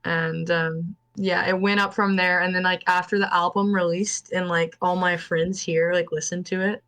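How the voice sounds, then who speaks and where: A woman's voice: lowish voice, flat delivery, moderate pace, fairly bright tone. Young woman chatting casually on a call. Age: young adult. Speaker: band vocalist and clarinetist. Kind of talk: casual interview over a call.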